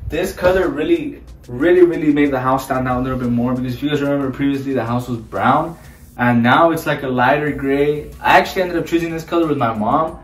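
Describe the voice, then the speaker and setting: A man talks casually, as a vlogger showing rooms would, dropping in Spanish words. His voice sits at a medium pitch. It is recorded a little away from the mic in echoey indoor rooms, with music in the background. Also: brisk, distant mic. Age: 20s. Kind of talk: casual house tour vlog, chatty. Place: indoors, small bathrooms and bedrooms of a house. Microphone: handheld camera or phone held by another person, a few feet away. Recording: roomy echo, voice away from the mic.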